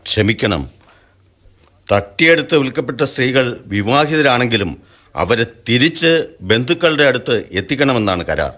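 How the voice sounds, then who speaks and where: A man playing a government official in a radio drama, formal and measured, dropping English apologies. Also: mid-range voice, expressive, a bit bright, lightly acted. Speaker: official from the high commission.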